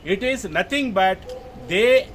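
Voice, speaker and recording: A man's voice: high voice, animated, takes it slowly, a bit bright. An older man with a Tamil Indian English accent, a native Tamil speaker, giving emphatic informal guidance to camera as a retired officer, often repeating his phrases. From Tamil Nadu, India. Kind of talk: informal instructional talk to camera. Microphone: mobile phone camera. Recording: phone recording with background noise.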